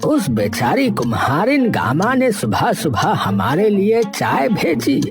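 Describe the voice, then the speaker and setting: A man voicing a gentle old village husband, chiding his wife in a children's cartoon. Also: high voice, wildly swooping pitch, slow, over music, exaggerated voice. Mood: gently reproving. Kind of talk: Hindi children's cartoon voice acting.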